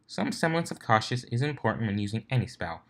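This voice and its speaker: A man's voice: medium pitch, big pitch swings, a little fast. A man voicing a sensible female unicorn who mediates in a placating, reasonable manner for a story reading.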